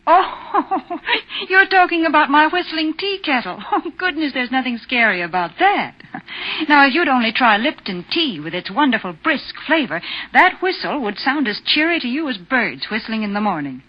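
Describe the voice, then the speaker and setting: High, lilting 1940s radio spokeswoman. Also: big pitch swings, moderate pace. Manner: cheery, homey, chatty. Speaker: commercial spokeswoman. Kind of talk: 1940s radio sponsor banter. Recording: muffled 1940s radio broadcast.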